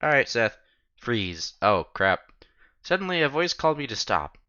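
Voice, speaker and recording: Male game streamer, bright forceful voice, animated dramatic read-aloud with casual asides, slightly muffled recording. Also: mid-range voice, normal speed, clear, resonant tone. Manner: animated, acts out protagonist's lines. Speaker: streamer reading a visual novel aloud. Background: soft game music.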